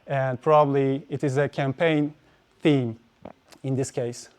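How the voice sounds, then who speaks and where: A man's voice: medium pitch, fairly even intonation, unhurried, darkish tone, clear, resonant tone. A man giving a technical conference talk, hesitant, with many fillers and restarts. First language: Turkish. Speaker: conference speaker.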